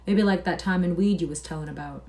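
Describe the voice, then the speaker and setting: A woman reading aloud and voicing a quiet, steady male ranch hand who speaks in a measured way. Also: lowish voice, distant mic, lightly acted. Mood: grave. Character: calm, quiet male mule skinner, steady and grave.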